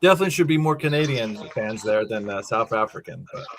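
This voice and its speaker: A man's voice: fairly low pitch, expressive, quick pace, a bit bright, a little hiss or hum. A man co-hosting a casual watch-party livestream, easygoing and filler-heavy as he reads out chat.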